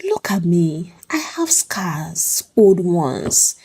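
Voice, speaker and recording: A woman's voice: fairly low pitch, big pitch swings, drawn-out words, mellow, breathy edge, exaggerated voice. A woman voicing a frightened, battered wife finding courage, hesitant and pleading, in a told story. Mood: anxious.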